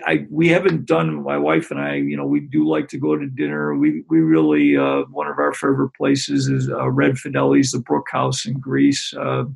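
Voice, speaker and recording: A middle-aged man from Western New York, a basketball coach, talking in a rambling, halting way with many restarts. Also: medium pitch. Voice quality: gravelly, husky. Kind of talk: relaxed interview conversation.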